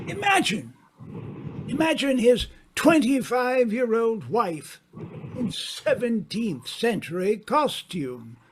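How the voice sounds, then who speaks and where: A man playing a suave, knowing mystery writer in an old-time radio drama, speaking deliberately with dramatic pauses. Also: high voice, a little hiss or hum, full character voice. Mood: hushed suspense. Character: suave, theatrical male mystery writer, a knowing dinner guest.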